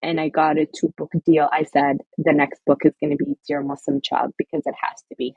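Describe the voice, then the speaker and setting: Brief: husky low woman guest from Somaliland, earnest and thoughtful, dry close sound. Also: little pitch movement, brisk, no echo, phone-quality sound, faint music behind. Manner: earnest, reflective, frequent fillers and restarts. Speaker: author and guest. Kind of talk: interview conversation.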